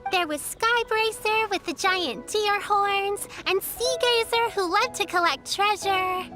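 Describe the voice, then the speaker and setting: A woman's voice: extremely high pitch, slow pace, over music. A woman voicing a cheerful little girl in a video game, with an earnest, childlike way of telling things. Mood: wistful, earnest. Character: cheerful little girl, apprentice of a wise master.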